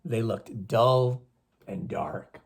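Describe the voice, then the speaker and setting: A man reading a story aloud to schoolchildren in an expressive, teacherly way, with emphatic phrasing. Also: medium pitch, extremely slow, creaky, breathy edge. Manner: teacherly, emphatic, addresses listeners with questions.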